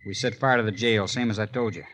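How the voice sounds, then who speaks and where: An actor playing a spiteful, scheming villain plotting in low tones in a 1950s radio western. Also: male voice, fast talker, a bit bright, a little hiss or hum, close to an everyday voice. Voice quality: low conspiratorial, vengeful. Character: Joe Beaver, spiteful scheming male villain clerk. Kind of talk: 1950s radio drama acting.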